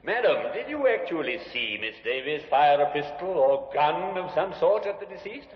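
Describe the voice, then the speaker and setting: A sharp defense barrister fires off crisp, probing questions in a lively, rising and falling voice. The old broadcast sounds muffled, with a long, roomy echo. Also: male voice, a little high, moderate pace. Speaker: defense counsel. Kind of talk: 1950s radio drama acting. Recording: dull echoey old radio recording.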